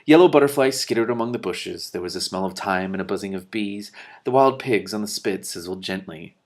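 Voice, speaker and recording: A man reading a book aloud expressively as host, breaking in with his own animated, off-the-cuff commentary. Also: fairly low pitch, fairly quick.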